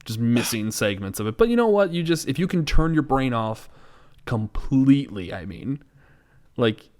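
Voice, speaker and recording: Man with medium pitch, rambling casually. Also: very animated, normal speed, a little mellow. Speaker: podcast co-host. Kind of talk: casual chat, film discussion.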